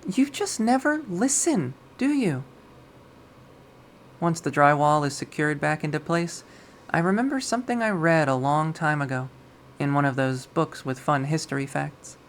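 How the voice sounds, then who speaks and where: A narrator reading a visual novel aloud, quiet and measured, softly voicing the characters. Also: mid-range voice, animated, slight background noise.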